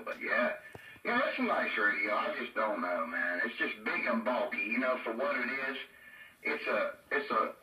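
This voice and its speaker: Guy talking radio gear, real bright forceful voice, echoey and kinda off the mic. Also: lowish voice, far from the mic. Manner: folksy, drawling, colloquial. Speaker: CB radio hobbyist. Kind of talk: casual chat about radio gear. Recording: echo-effected, roomy sound from off mic.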